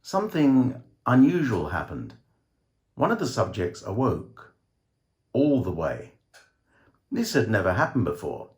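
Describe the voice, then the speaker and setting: A man reading a short story as dramatic scripted narration, with theatrical, measured phrasing. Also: on the low side, sing-song, darkish tone. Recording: roomy, echoing voice recording.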